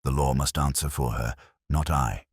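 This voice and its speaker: A man voicing a cool, detached Victorian detective in an audiobook, speaking formally and quietly in aphorisms. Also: very deep voice, fairly even intonation, moderate pace, breathy edge, dry recording, studio quality. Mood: stern, detached. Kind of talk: audiobook character dialogue.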